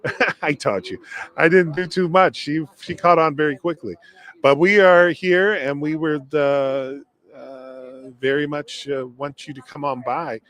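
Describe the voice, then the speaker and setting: A man presenting a casual, unscripted live stream from a community event, talking in a halting, rambling way with trailing asides. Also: fairly high pitch, fairly bright tone. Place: inside a hardware store at a public event.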